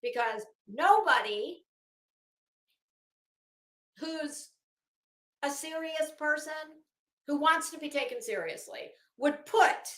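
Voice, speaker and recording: A woman hosts a stream in a high, bright voice. She speaks slowly and theatrically, mixing dramatic reading with sarcastic asides. The room around her voice is echoey. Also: lively intonation. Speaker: livestream host. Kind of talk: dramatic reading with off-the-cuff commentary. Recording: echoey, roomy sound.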